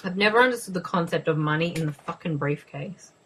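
A woman's voice: lowish voice, quick pace, fairly bright tone, a little hiss or hum, low-quality recording. A young woman casually co-hosting a movie commentary, joking, sarcastic and swearing freely. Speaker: co-host.

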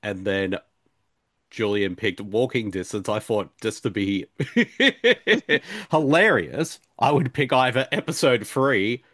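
A man's voice: mid-range voice, animated, takes it slowly, a bit bright, no echo, clean studio sound. A man chatting casually as a podcast co-host in a jokey, rambling way. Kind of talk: casual discussion chat.

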